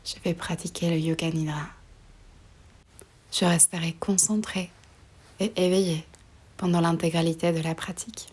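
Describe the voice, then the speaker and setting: A woman guiding a relaxation meditation in a soft, breathy voice often near a whisper, slow and soothing, with pauses between short phrases. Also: fairly low pitch, steady tone. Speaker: yoga nidra meditation guide.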